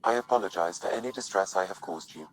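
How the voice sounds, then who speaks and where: An actor playing a polite, curious artificial intelligence in a video game, speaking formally with measured, precise phrasing. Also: male voice, low voice, low-quality recording. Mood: calm, contemplative.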